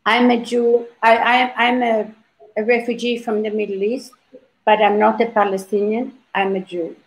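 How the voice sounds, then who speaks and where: Older woman, panel founder, fairly high voice, emphatic storyteller over a video call. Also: flat delivery, normal speed, poor audio. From Libya. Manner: emphatic storyteller, frequent restarts. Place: indoor home room.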